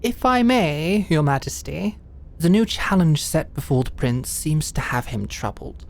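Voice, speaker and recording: Woman acting a lady knight, deep for a woman, formal n measured, guarded, fantasy audio drama. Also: darkish tone.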